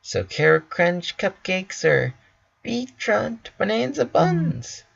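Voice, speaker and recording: A man's voice: high voice, big pitch swings, slow pace, creaky, a bit bright, husky, highs cut off, pitched above the performer's own voice, heavily acted. A man voicing a bubbly, sweet, playful young female cartoon pony in a story reading. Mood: playful, giggly. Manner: playful, quipping.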